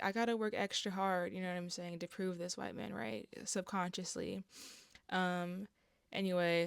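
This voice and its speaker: A hoarse young woman with a raspy voice, talking casually and candidly to camera on her vlog, full of fillers and swearing. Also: fairly low pitch, dry recording, studio quality. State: hoarse from an allergic reaction. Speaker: vlogger.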